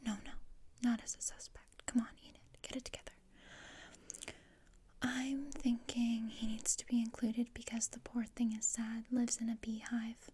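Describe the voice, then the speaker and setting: Woman as gloomy, haughty teen girl for ASMR roleplay: very breathy whisper, dark and soft, dryly sardonic. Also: fairly high pitch, moderate pace. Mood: scheming. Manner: deadpan, dry, haughty. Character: gloomy, sardonic teenage outcast girl, coldly disdainful.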